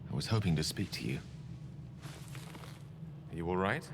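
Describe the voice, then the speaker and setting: An amiable, bookish wizard in a fantasy game. His voice is fairly low and very expressive, polite and eloquent, with a faint hum behind it. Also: moderate pace. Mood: courteous. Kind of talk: fantasy video game acting.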